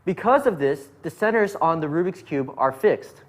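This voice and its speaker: A man's voice: fairly high pitch, expressive, moderate pace. A man explaining a puzzle method as an instructor, patient and methodical in his narration. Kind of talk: step-by-step tutorial narration.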